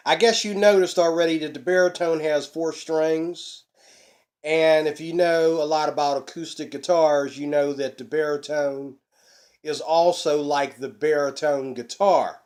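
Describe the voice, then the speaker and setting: Casting: middle-aged male vlog host, slightly hoarse, unhurried and folksy, fairly high voice, webcam in a small home room. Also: a little mellow. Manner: folksy, chatty, preacher-like emphasis. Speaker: ukulele channel host. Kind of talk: casual instructional vlog.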